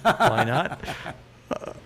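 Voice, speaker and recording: A man's voice: medium pitch, very animated, very slow, fairly bright tone. A middle-aged man chatting casually as a podcast co-host and reading out silly items in an amused, irreverent way. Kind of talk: casual phone chat, reading tabloid items aloud for laughs.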